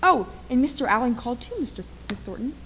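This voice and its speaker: A woman's voice: a little high, lively intonation, fast, a bit bright, poor audio. A woman playing an eager, deferential, chatty housemaid in a radio play, with some noise on the recording.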